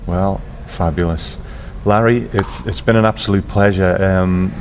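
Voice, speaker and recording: A man's voice: low-pitched, steady tone, a little slow, dull audio, a little hiss or hum. A man hosting as interviewer and narrator, attentive and encouraging, in a casual conversational style. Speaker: interviewer and podcast host. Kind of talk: casual interview with short affirming replies, some narration.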